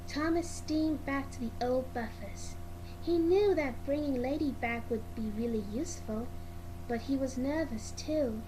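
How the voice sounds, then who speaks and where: Female read-along narrator: high voice, slow halting delivery of a children's story, clearly noisy amateur recording.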